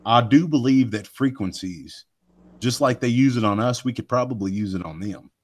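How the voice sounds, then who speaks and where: A man with a deep voice that carries, hosting a live show and rambling folksily with many asides to his listeners. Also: normal speed. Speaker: host of a cryptid research live show. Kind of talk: casual live podcast chat.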